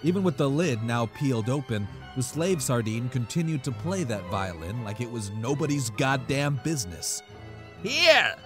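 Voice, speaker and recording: A man's voice: mid-range voice, animated, normal speed, few pauses, over music. A man narrating a scripted comic horror story in a dramatic, profane storytelling manner.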